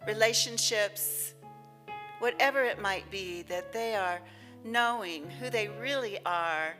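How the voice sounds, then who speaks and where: Slow, bright-voiced woman leading prayer. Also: mid-range voice, soft background music. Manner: reverent, deliberate, with long pauses. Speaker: reader and prayer leader at a church service. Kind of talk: inspirational reading and spoken prayer.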